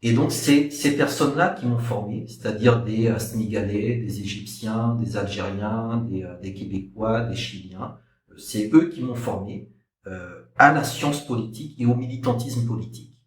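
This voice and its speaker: Echoey, distant, low-voiced middle-aged man. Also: normal speed, slight background noise. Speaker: historian and activist guest speaker. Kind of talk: spoken personal account at a talk. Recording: echoey room, distant microphone.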